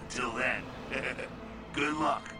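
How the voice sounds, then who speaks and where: An actor playing a brash, irreverent older American vampire mentor in a video game, folksy and slangy with mocking asides. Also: male voice, medium pitch, fairly even intonation, slow pace, recorded from a distance, close to an everyday voice. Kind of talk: video game character dialogue.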